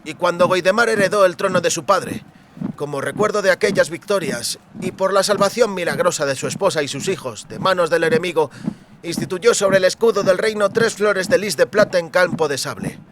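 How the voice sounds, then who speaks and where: A man's voice: sits high, a little fast, background noise, voice pushed up, lightly acted. A man voicing an earnest, bookish young man who explains things formally and pedantically in a fantasy audiobook. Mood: eagerly lecturing.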